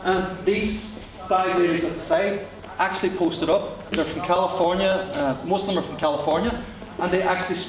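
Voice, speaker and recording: A man's voice: high voice, steady tone, normal speed, hardly any pauses. A man with a Northern Irish accent, a teacher giving a short, chatty, joking talk to an audience of teachers. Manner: chatty, joking, self-deprecating. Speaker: teacher presenting at a teacher meetup. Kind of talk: short live presentation to an audience. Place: large lecture hall with an audience. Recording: echoey hall from a distance, crowd noise.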